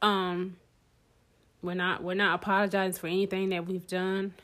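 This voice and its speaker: A woman's voice: lowish voice, flat delivery, moderate pace, a bit bright. A woman co-hosting a casual talk show, speaking in an encouraging, pep-talk way. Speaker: podcast co-host. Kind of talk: casual chat.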